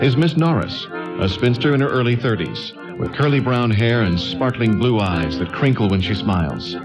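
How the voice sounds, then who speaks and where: A man narrating a scripted radio drama in a measured storytelling way. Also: medium pitch, lively intonation, rough recording. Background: instrumental music with guitar.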